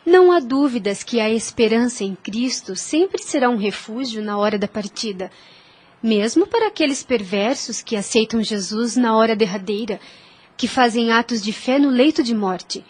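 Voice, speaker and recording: Woman acting a high-voiced devout young lady. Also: animated, darkish tone, husky. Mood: earnest, devout. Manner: earnest, measured, reverent explaining. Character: gentle, devout young Spanish woman, earnest teacher of scripture. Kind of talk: religious audio drama acting.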